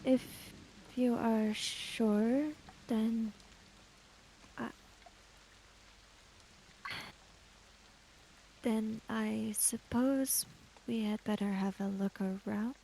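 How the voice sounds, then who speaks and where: Woman playing a halting, formal young woman. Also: on the high side, steady tone, vocal fry, mellow, slight background noise, low-quality recording. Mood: tentative, concerned. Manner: halting, formal, careful phrasing. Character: Chiaki, polite, hesitant young woman investigator.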